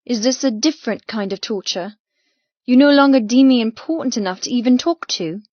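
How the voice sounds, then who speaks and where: Audiobook heroine: woman voicing a hurt, confrontational young woman with a sharp tongue, fairly high, dry recording. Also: lively intonation, brisk, no room sound, dull audio. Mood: hurt, challenging. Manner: confrontational, emotional. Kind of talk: acted audiobook dialogue.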